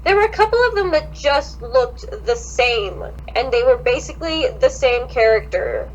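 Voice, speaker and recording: A woman's voice: sits high, animated, moderate pace, dull audio, a little hiss or hum. A young woman co-hosting a podcast, mixing dramatic reading with sweary, sarcastic casual commentary. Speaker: podcast co-host.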